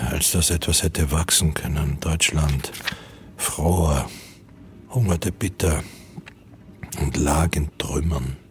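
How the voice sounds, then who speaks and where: Very deep-voiced, solemn army colonel. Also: male voice, darkish tone, a little hiss or hum. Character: aging colonel, army propaganda newspaper editor-in-chief, recalling war. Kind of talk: scripted radio play narration.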